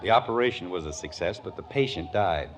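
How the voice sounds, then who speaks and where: A man's voice: deep voice, lively intonation, a little fast. An actor playing a sarcastic, exasperated middle-aged American suburban father in a 1950s radio sitcom. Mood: resigned, muttering. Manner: dry sarcasm, sputtering indignation. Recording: noisy old radio broadcast recording.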